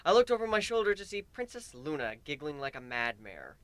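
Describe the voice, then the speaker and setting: A man reading a first-person story aloud in a theatrical, exasperated way, dropping low and bursting loud. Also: big pitch swings, fairly quick. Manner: theatrical, exasperated delivery, swinging from hushed to shouted. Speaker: story reader.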